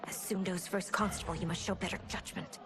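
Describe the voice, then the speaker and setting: A woman's voice: medium pitch, steady tone, a little fast, noisy background, lightly acted. A woman playing a proud, commanding young princess in a video game, with formal, imperious speech. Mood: stern. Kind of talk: cinematic video game acting.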